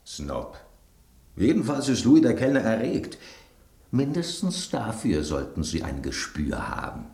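An actor playing an inquisitive, brusque piece of bread in a German radio drama. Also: male voice, fairly low pitch, sing-song, a little slow, a little mellow, reverberant. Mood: needling. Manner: inquisitive, brusque, rhetorical questioning. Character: bread on a restaurant table, gruff gossipy male detective type.

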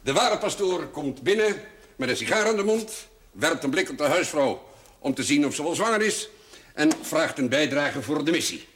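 Shrewd old moneylending notary, pompous, ironic, formal, very lively intonation, television drama. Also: male voice, a little high, moderate pace. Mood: sardonic, expansive. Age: older, over 50. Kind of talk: theatrical television drama dialogue.

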